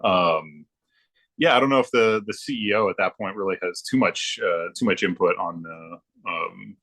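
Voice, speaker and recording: A man's voice: low voice, normal speed, fairly bright tone. A man speaking as a podcast guest in casual chat, agreeable and thinking aloud with hesitations. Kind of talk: casual chat about comic books.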